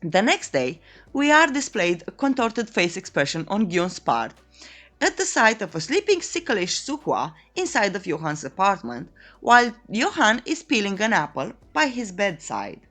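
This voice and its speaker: A woman narrates a comedic recap with extremely animated pitch that leaps up and dips down constantly. Her delivery is playful and theatrical, like scripted storytelling with a tongue-in-cheek edge. Music plays behind her voice. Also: normal speed. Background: background music.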